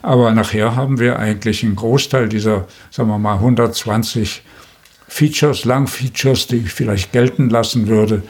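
An older man in his seventies or beyond speaks with a husky, mid-pitched voice. He talks reflectively, as a guest in an interview. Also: steady tone, normal speed. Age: 70s or older. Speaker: veteran radio feature maker, interview guest.

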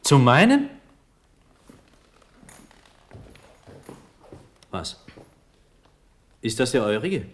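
An actor playing a dreamy, distracted young prince and officer in a classic radio drama, with a theatrical, absent-minded manner and abrupt outbursts. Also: male voice, mid-range voice, huge pitch swings, slow pace, slight background noise. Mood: astonished. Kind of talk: classic German radio play stage acting.

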